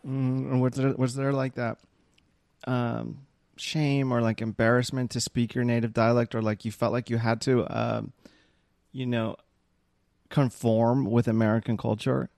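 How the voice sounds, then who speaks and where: A man interviewing a guest, asking hesitant questions with soft murmured acknowledgements. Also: medium pitch, level tone, unhurried, vocal fry, dry recording, clean studio sound.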